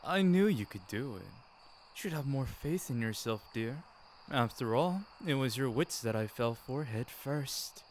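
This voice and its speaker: A man performing an ASMR romantic roleplay as a tender, teasing boyfriend, speaking affectionately to his partner. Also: mid-range voice. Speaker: amateur audio roleplay performer. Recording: clearly noisy, ambience behind voice. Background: ambient background sound.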